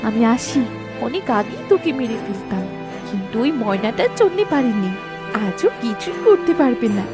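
A woman's voice: a little high, big pitch swings, normal speed, music in the background. A woman voicing a haughty, scheming stepmother queen in a fairy-tale cartoon, commanding and two-faced. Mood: cruel, gloating.

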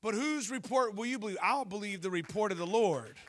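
A man in his thirties preaching a sermon on a church stage into a handheld mic, telling lively stories and asking the congregation questions. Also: sits high, brisk, slight background noise. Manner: animated storytelling preacher, jokes and asks the crowd questions. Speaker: pastor.

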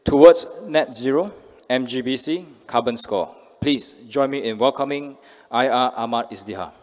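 A man's voice: medium pitch, normal speed, darkish tone. A man in his thirties with a Malaysian accent, formally reading a scripted introduction as master of ceremonies at a podium microphone. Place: indoor hotel event hall. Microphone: podium gooseneck microphone. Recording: reverberant hall PA sound.

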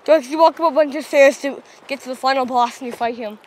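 A playful, chatty teenage boy talks along with his father in a family vlog. His voice is high, and clear background noise from the car and outdoors sits behind him. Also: fast. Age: teens. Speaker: vlogger's son. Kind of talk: casual vlog chat.

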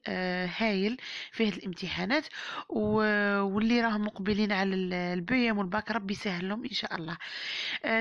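A woman with an Algerian Arabic accent and a hoarse voice, chatting to viewers as she narrates a home baking tutorial. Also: mid-range voice, no echo, muffled recording. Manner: chatty, warm-hearted blessings and advice to viewers. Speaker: cooking channel host. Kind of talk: home cooking tutorial voice-over.

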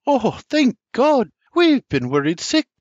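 Male reader doing a high, excitable rural mother; lo-fi and dry. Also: slow pace, no echo, full character voice. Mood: relieved. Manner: high put-on falsetto, dialect speech. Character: motherly farm woman, emotional and fierce. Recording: dull lo-fi dry recording.

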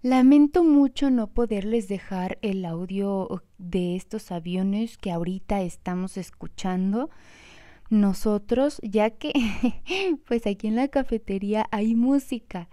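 A woman's voice: medium pitch, slow pace, warm tone. A Mexican woman casually narrating a travel vlog from a café terrace by an airport, talking straight to her viewers and fond of little diminutives. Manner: chatty, addresses viewers directly, fond of diminutives. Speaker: vlogger.

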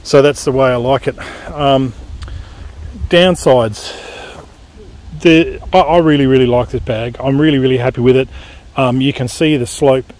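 A man's voice: medium pitch, moderate pace. A man giving a casual, plain-spoken gear review of his motorcycle luggage outdoors, with plenty of ums and uhs. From Australia. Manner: relaxed, plain-spoken, explaining with fillers. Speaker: motorcycle vlogger. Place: outdoors on dirt beside a motorbike.

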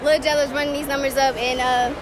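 A woman's voice: high-pitched, flat delivery, fast talker, noisy background. Hyped young woman interviewing in a mall. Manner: hyped, repeats catchphrases. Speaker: street interviewer host. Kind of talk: casual public interview. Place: shopping mall indoors. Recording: busy mall with music and crowd.

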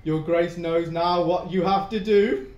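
A man plays a sly, flattering go-between in a Jacobean audio drama. The high male voice is insinuating, in a roomy, echoing space. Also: measured pace, dull audio. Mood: sly, coaxing.

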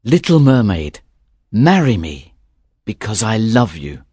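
A woman voicing a young male fisherman, earnest and simple, in a lowered voice for a storybook reading. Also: very animated, slow, dark tone, slightly rough, no room sound, clean studio sound, exaggerated voice. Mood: lovestruck.

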